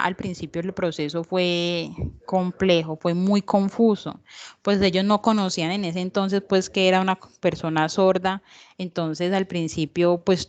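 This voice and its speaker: A woman interprets the guest's signed answers aloud in the first person. Her voice is fairly low and smooth, and she pauses often as she searches for words. The recording sounds slightly dull. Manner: halting, searching for words, frequent fillers. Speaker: sign language interpreter voicing a deaf guest.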